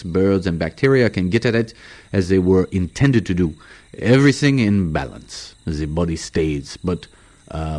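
A man's voice: low-pitched, a little mellow. A man voicing a level-headed safari assistant in an audiobook story, explaining things in a matter-of-fact, reasoning way.

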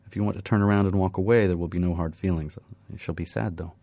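A man reading the part of a young woman student with a Turkish accent, speaking softly, politely and a little hesitantly. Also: low-pitched, steady tone, fast. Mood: wistful. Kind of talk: audiobook character voice.

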